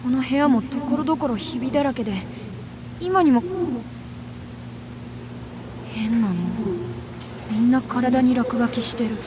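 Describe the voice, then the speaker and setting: An actress voicing an innocent, puzzled little boy in a visual novel, soft and full of wonder. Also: a little high, quick pace, noisy background, lightly acted. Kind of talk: Japanese visual novel voice acting.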